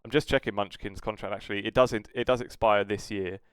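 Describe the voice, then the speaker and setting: A man's voice: on the low side, steady tone, fast talker, clear, resonant tone, no room sound, clean studio sound. A man with a British accent co-hosting a casual esports podcast, talking in a rambling, hesitant way that trails off. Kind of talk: casual esports news discussion.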